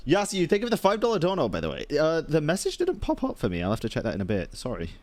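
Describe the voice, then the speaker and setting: A man's voice: on the high side, wildly swooping pitch, rapid-fire, darkish tone, no echo. A male livestreamer reacting casually to a game, joking and swearing.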